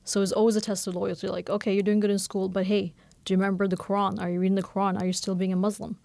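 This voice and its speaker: Woman, thirties, first language Norwegian, rapid and animated, gravelly edge, close dry mic. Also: medium pitch, no echo. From Bangladesh. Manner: fast, candid, animated with many fillers. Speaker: novelist guest. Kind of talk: conversational radio interview.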